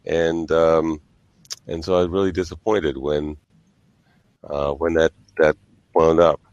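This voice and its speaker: A man with a low voice talks in a measured, halting manner, as the host of a casual online discussion. He explains things step by step, and the sound is dry, with hardly any room in it. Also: little pitch movement, darkish tone, dry recording, dull audio. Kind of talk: casual, explanatory live-stream chat.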